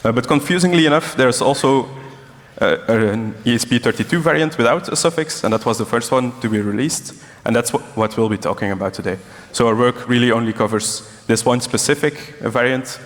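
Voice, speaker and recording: A man's voice: mid-range voice, fairly quick. A man from Belgium gives a technical conference presentation as one of the speakers. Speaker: conference speaker, embedded and security developer. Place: large conference hall. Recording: echoey hall with long reverb.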